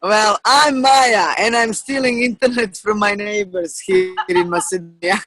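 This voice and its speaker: A woman talking on a video call in unhurried, slightly broken phrasing, laughing often. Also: medium pitch, fairly bright tone, no room sound, rough recording. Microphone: video call on a borrowed connection.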